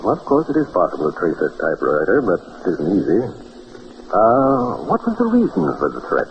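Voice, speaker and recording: A man acting a shrewd, genteel amateur sleuth in a vintage radio drama, speaking in a courteous, wry and deliberate way. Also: low-pitched, wildly swooping pitch, full character voice. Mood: probing. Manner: urbane, deliberate, politely probing. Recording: muffled vintage radio with noise.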